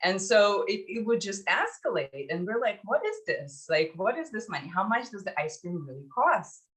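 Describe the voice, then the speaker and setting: A woman in her forties from Ukraine, telling stories in a reflective, halting way as an interview guest. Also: medium pitch, very animated, fairly bright tone, poor audio. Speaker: podcast guest, startup founder. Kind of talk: conversational interview storytelling.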